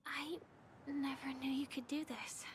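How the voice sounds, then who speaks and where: Soft, high, wistful young woman. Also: fairly even intonation, a little slow. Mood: gently teasing. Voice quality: soft, breathy, often near whisper. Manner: gentle, reflective. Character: gentle, wistful young woman, quietly teasing companion. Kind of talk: video game voice acting. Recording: game audio under loud background music.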